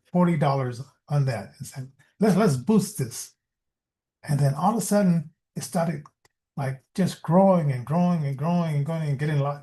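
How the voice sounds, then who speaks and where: Halting, higher-voiced man hosting a casual livestream. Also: warm tone. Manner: halting, repeats words, chuckles often. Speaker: online radio show host. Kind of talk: casual rambling livestream talk.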